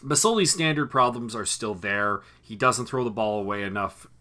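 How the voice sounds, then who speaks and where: A hoarse man hosting a solo review of a football game, reeling off stats and correcting himself as he goes. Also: on the low side, lively intonation, normal speed, sharp tone. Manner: analytical, rattles off stats with frequent self-corrections. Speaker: sports review host.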